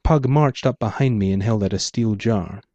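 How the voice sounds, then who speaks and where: A man's voice: on the low side, normal speed, dark tone, no room sound, lo-fi sound. A man, the author, reading his own first-person noir story aloud in a hardboiled manner.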